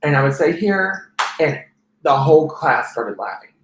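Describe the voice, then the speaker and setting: Soft feminine-sounding guy in his 30s, rambly, lots of restarts, echoey room. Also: on the high side, lively intonation, measured pace, background noise. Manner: rambling, many restarts and fillers. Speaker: interview guest. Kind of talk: personal testimony in conversation.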